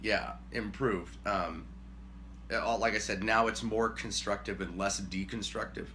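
Forties guy rambling on the couch, boomy and bright, little hum in the room. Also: fairly even intonation, unhurried. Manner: rambling, self-deprecating, booming when worked up. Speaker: co-host, husband. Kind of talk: casual chat. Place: living room couch, indoors.

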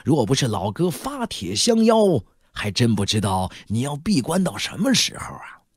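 A man's voice: mid-range voice, very animated, normal speed, slightly rough, dry recording, clean studio sound. A man voicing a portly middle-aged shopkeeper, effusive, jovial and glib, for an audiobook. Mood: effusive welcome. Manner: effusive, back-slapping joviality. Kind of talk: audiobook character voice.

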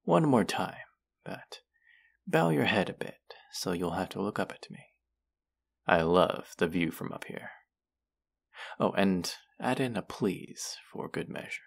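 A man's voice: low voice, animated, a little slow, gravelly, long pauses, husky, full character voice. A man playing a condescending, teasing young twin brother in an audio roleplay, with a mocking, drawling delivery aimed at the listener. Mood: smug, teasing. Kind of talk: scripted roleplay addressed to listener.

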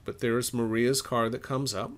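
A middle-aged man narrating a step-by-step software tutorial in an informal, explanatory way, thinking aloud as he goes. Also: mid-range voice, fairly even intonation, normal speed, slight background noise. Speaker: laundry equipment expert, tutorial presenter.

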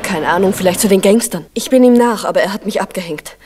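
An actress playing an anxious, pleading woman in a crime radio play, with a husky, breathy voice. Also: mid-range voice, fast, warm tone, close to an everyday voice. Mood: agitated. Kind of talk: 1970s German crime radio play acting.

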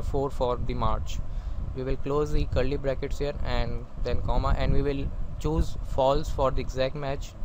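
Hesitant male tutorial voice over clear noise. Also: mid-range voice. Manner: halting, with very frequent hesitations. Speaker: instructor. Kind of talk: spoken software tutorial over screen recording.

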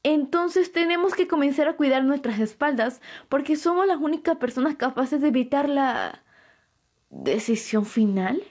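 A woman's voice: high-pitched, big pitch swings, normal speed, a little mellow. A woman playing an anxious, bewildered young woman in a fantasy audio drama, hesitant and wide-eyed. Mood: hesitant realization.

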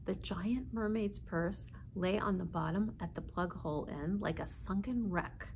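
A woman's voice: medium pitch, measured pace, darkish tone. A woman in her forties reading a children's story aloud as narrator, seated indoors a short way from the camera. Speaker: library story reader. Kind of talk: children's book read-aloud. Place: small indoor room, seated. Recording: faint background noise.

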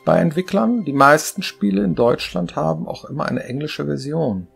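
Gravelly, higher-voiced German co-host. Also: male voice, animated, moderate pace, soft background music. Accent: Ruhr German. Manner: chatty, asks rhetorical questions. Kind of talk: casual studio chat. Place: home studio with shelves.

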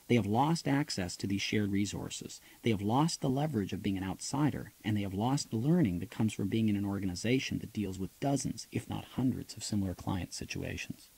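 A man reading his own nonfiction audiobook as a scripted narration, in a measured, explanatory manner. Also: on the low side, creaky, dark tone, husky.

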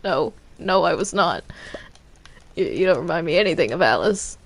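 A woman's voice: fairly low pitch, animated, normal speed, vocal fry, no room sound. A woman playing a grumbling, reluctant captive in an improvised roleplay, whining and protesting. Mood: defensive. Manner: whiny, protesting. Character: grumbling, reluctant captive Bendy under another's control.

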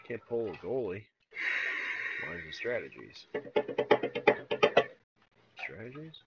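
A man chatting casually while playing a video game, blunt, grumbling and quick to swear. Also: low voice, creaky, highs cut off. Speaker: gaming show co-host playing. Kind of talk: casual gameplay chat. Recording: loud game noise and clicks behind.

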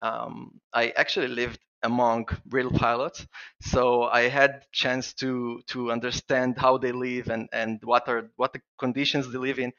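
A man's voice: mid-range voice, level tone, measured pace, a bit bright, highs cut off. A man from Israel with an Israeli accent, a guest on a podcast interview, talking eagerly with many restarts and fillers. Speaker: guest plugin developer.

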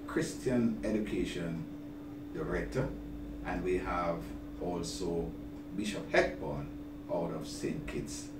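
Older man, deliberate, in a roomy noisy recording. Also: low-pitched. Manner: deliberate, halting, repeats words. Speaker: bishop, interview guest. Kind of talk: interview, inviting the public to an event. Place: small office, seated at a desk. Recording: roomy, distant mic, clear background noise.

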